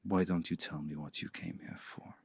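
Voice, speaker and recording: Guy doing a low gravelly vampire master, slow and hypnotic, breathy asmr roleplay, super close and dry. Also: steady tone, no room sound, heavily acted. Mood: smug, teasing. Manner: slow, deliberate, hushed, often breathy near-whisper. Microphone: close mic, dry.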